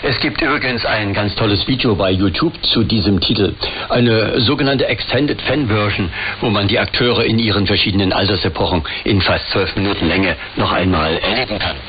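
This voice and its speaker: A man introducing records in a relaxed, chatty way. His voice sits low. It comes through a dull, muffled radio signal. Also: a little fast, a little hiss or hum. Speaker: radio music presenter.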